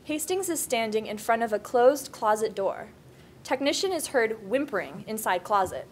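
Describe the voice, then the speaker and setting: A woman narrates a screenplay at a table read, giving scene headings and action. Her voice is fairly high and very animated, with faint noise behind it. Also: moderate pace. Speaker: stage directions narrator.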